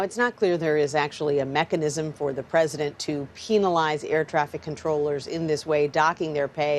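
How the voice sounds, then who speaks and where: Low-voiced woman, halting news reporter. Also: darkish tone. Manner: halting, self-correcting. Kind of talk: live news analysis.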